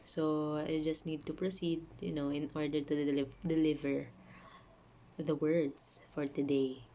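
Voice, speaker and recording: A woman hosting a casual spiritual talk at home, sounding sick with a mellow voice, chatty with fillers and asides. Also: low voice, level tone, a little slow, slight background noise. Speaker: channel host. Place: home, dogs nearby.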